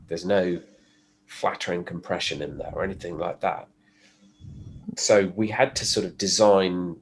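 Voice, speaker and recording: A man with a stuffy cold, explaining his work in a relaxed podcast chat with fillers and restarts. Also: deep voice, slightly rough. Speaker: guest, pickup designer. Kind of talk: casual podcast conversation.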